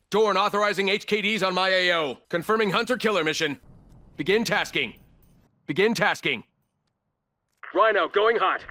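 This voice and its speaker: A man's voice: sits high, bright, forceful tone. A man voicing a ruthless, arrogant military operator in a shooter game, giving clipped, commanding combat callouts in a cold, contemptuous manner.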